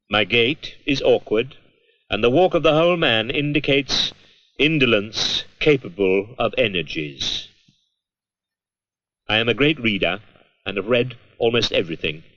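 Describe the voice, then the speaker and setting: An actor playing a Romantic poet reading his letters in a measured, reflective and self-mocking manner. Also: mid-range voice, fairly bright tone, dry recording. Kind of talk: dramatised literary reading of letters and verse. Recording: dull old 16mm film soundtrack.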